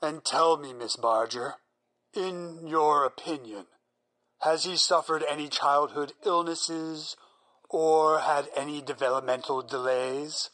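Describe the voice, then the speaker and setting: A man's voice: fairly high pitch, takes it slowly, pushed and bright, low-quality recording. An actor playing a single-minded male scientist who explains his plans in an earnest, persuasive way. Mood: polite. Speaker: researching psychologist. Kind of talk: audio drama acting.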